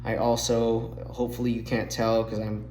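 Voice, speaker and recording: Nasal dude rambling thru ufc picks, low monotone-ish, iphone mic in a roomy space w faint hum. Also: male voice, moderate pace. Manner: chatty, fillers, slightly rambling analysis. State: nasal from recent illness. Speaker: MMA podcast host making fight picks. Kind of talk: casual solo fight breakdown. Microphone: phone mic. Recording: echoey room on phone mic.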